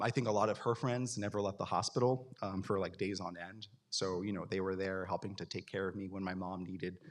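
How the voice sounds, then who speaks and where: A man with a deep voice speaks as a guest at an event. His delivery is informal and personal, a little hesitant and thoughtful. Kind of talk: informal personal answer at a talk.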